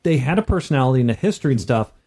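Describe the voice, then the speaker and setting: A man's voice: mid-range voice, animated, racing, dark tone, breathy edge. A man co-hosting a casual, conversational discussion about tabletop games. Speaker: podcast co-host and tabletop gamer.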